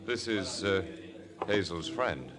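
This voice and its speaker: An actor playing a smooth, mock-courteous kidnapper in an old radio crime drama, urbane and sly. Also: male voice, low-pitched, animated, very noisy. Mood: affable, sly. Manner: urbane, drawn-out politeness. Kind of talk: 1940s radio crime acting.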